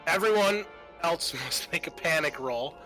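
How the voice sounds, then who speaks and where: A man acting as game master, narrating a tabletop roleplaying game to friends and explaining rules in a halting way. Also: a little high, lively intonation, sharp tone. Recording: faint music behind the voice.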